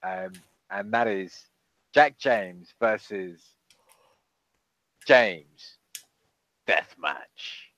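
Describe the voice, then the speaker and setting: Low-voiced man, dry banter. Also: expressive, very slow, pauses often, fairly bright tone, no room sound, rough recording. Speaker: podcast co-host. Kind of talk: casual chat.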